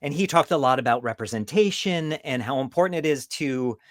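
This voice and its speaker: A man's voice: mid-range voice, animated, fairly quick, dry recording. Dry-sounding male podcast host. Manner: affirming, conversational, asks long rambling questions. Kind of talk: casual interview chat.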